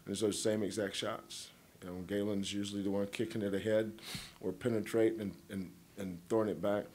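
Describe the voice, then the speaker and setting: A man's voice: lowish voice, little pitch movement, brisk, darkish tone. A man, a head basketball coach, answering questions at a postgame press conference in a folksy, rambling way, full of homespun analogies and teasing.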